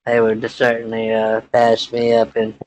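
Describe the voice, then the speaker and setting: A man playing a laid-back young Texan rancher in an improvised phone call, talking in a halting, folksy, rambling way. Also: medium pitch, monotone, a little mellow, lightly acted. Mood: easygoing, rambling.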